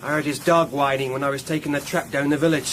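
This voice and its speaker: An actor playing a plain, unpolished estate servant in a period radio drama, speaking respectfully and a little haltingly. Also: male voice, fairly high pitch, flat delivery, background noise, natural voice. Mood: deferential, subdued.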